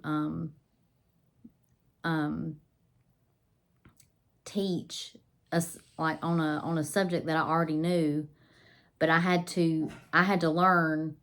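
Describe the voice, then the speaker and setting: A woman's voice: deep voice, a bit bright. A woman vlogging about homeschooling, her voice a little scratchy and nasal from pollen, chatty with many ums and restarts. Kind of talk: casual to-camera talk.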